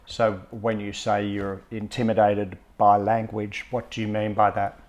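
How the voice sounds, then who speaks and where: A man interviewing a guest, asking open questions and affirming her answers gently. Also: lowish voice, level tone, measured pace.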